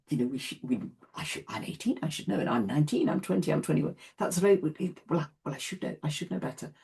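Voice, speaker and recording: Low-voiced woman teaching an online class. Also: gravelly, darkish tone, breathy edge. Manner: reassuring, repeats "yeah", self-deprecating laughs. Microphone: video call.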